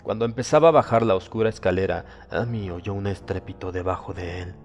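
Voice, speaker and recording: A man giving a dramatic, suspenseful narration of a horror audiobook, with deliberate, theatrical phrasing. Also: sits low, a little mellow, lo-fi sound. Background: music.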